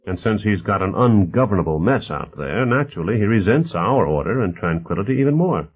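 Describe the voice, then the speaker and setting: Through a thin phone-like band comes a genial male executive, acted by a man reading a novel. He is assured and expansive, his pitch lifted and swooping as he plays the host of a futuristic city. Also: big pitch swings, fairly quick, breathy edge. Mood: reflective.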